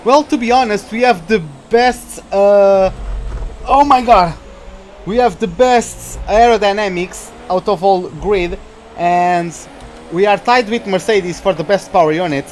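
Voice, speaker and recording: A man's voice: high-pitched, measured pace, a little hiss or hum. A young man, chatting with viewers while streaming a racing game. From Portugal. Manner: chatty, reads out viewer names, reacts to the race. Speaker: gaming streamer.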